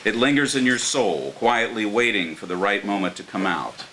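Older American male poet at a live reading. Lowish, bright, earnest delivery, faint hiss behind. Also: animated, normal speed. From Martins Ferry, Ohio, United States. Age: older, around 60. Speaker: poet reading his own work. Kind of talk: live poetry reading with informal introductions. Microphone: microphone on a stand. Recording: clear background hiss.

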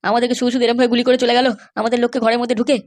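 Man voicing a fast-talking, fretful older cartoon uncle. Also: very high voice, completely flat delivery. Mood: aggrieved, vengeful. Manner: complaining, emphatic. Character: injured, aggrieved older uncle, vengeful and fretful. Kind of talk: amateur comedy cartoon dubbing.

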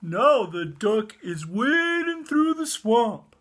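An excitable, insistent storybook cloud-watcher, acted out by a man with an American accent. The voice is pitched high and swoops around, emphatic and full of exclamation. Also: takes it slowly, slight background noise, exaggerated voice. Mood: excited. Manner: exclamatory, emphatic, playful arguing.

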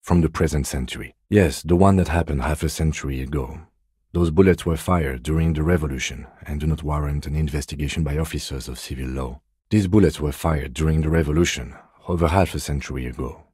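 A restrained, courteous police lieutenant for a video game. His voice is deep, dark and husky, delivered in a measured deadpan with a dry, sardonic edge. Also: dry recording. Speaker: game character, police detective. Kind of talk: video game dialogue voice lines.

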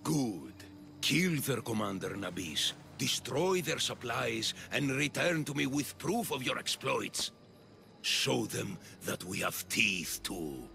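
An actor playing a scheming, grandiose populist politician of ancient Athens in video game dialogue, with a gravelly, oratorical delivery. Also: male voice, mid-range voice, expressive, takes it slowly, bright, forceful tone, soft background music, exaggerated voice. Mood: rousing, commanding.

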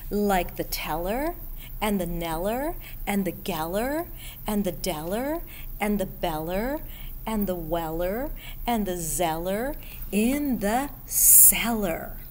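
A middle-aged woman reads a picture book to young children. Her delivery is extremely unhurried, with deliberate pauses and animated rises and falls in pitch. She speaks indoors into a webcam, with slight room noise behind her. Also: low-quality recording. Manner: expressive, deliberate, addressing young listeners with pauses. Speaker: school principal reading aloud to children. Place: school library room, indoors. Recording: steady background room noise.

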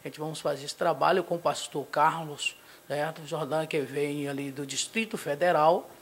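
A middle-aged man, a pastor from Brazil, giving a halting local TV interview full of fillers and restarts, speaking into a handheld mic in a small studio. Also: fairly high pitch. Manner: hesitant, many fillers and restarts, tag questions. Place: small studio in front of a logo backdrop.

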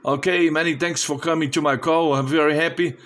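A Brazilian man with a slightly high voice works as a ham radio operator. He is friendly and casual, speaking non-fluent English with a Portuguese speaker's accent. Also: steady tone, brisk, fairly bright tone. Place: indoor home radio station.

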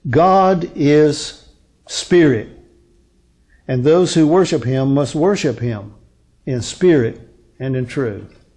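A man in his sixties preaching as a pastor, teaching from scripture in a deliberate, emphatic manner with rhetorical questions and pauses. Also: fairly high pitch, lively intonation, darkish tone. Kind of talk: sermon, expository Bible teaching from a lectern. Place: indoor lectern on a curtained stage.

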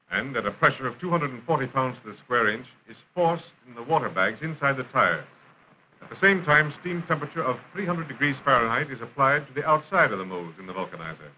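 An American man reading scripted narration for a 1930s industrial film in a formal, measured announcer style. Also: medium pitch. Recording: dull, noisy 1930s film soundtrack.

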